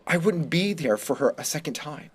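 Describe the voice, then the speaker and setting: Male narrator for first-person teen fiction, voicing a wounded, resentful student; pitch a little above average. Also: animated, normal speed, slightly rough. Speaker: reader of a short story.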